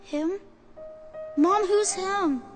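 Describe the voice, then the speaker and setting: A woman voicing a polite, earnest, wide-eyed young boy hero in an animated fantasy game, innocent and well-mannered. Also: extremely high pitch, extremely slow, over music, heavily acted. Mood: bewildered, pleading.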